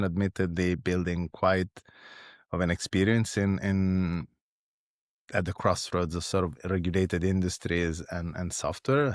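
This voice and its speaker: A man with an Italian accent, a foundation executive answering interview questions in a thoughtful way, often pausing on fillers and restarting phrases. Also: deep voice, dry recording, studio quality. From Italy.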